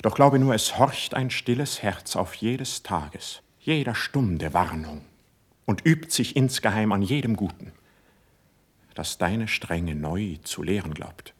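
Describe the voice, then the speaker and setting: Casting: sensitive young poet for verse radio drama; lowish, breathy voice, lofty fervent delivery. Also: male voice, expressive, takes it slowly, full character voice. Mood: earnest, pleading. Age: young adult. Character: idealistic, impulsive young male poet, ardent and sensitive. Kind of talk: classical German verse radio drama acting.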